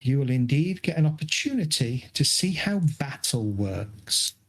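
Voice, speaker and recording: A man running a tabletop game as game master over an online call, explaining rules in a measured way with pauses and fillers. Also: medium pitch, very animated.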